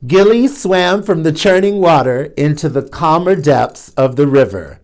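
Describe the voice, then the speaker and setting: Male storytime host reading a kids' picture book, lively and playful, unhurried, slightly raised pitch. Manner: animated, playful asides to young listeners.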